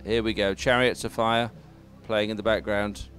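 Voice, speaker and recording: A man's voice: fairly low pitch, little pitch movement, moderate pace, a bit bright, faint music behind. A man giving live race commentary in an informal, conversational and encouraging way. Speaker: sports commentator.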